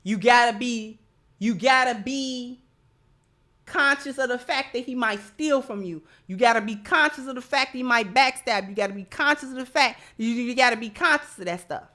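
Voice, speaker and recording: A woman's voice: a little high, normal speed, sharp tone. An American woman hosting opinionated live commentary, speaking emphatically and repeating phrases for stress. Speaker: host of a commentary show.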